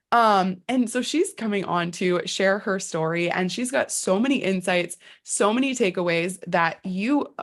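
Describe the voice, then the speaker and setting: A woman hosting a podcast interview, effusive and gushing as she introduces her guest and asks questions. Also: mid-range voice.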